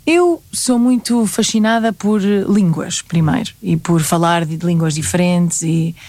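A woman's voice: on the low side, a little slow, dry recording. A young woman with a European Portuguese accent, a singer-songwriter talking animatedly as a guest in an interview. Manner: lively, animated storytelling. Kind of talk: conversational interview.

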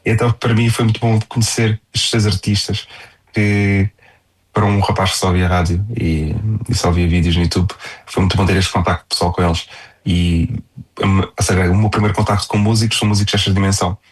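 A man's voice: sits low, little pitch movement, brisk, slightly rough. A young man with a European Portuguese accent, chatting casually as a singer-songwriter in an interview.

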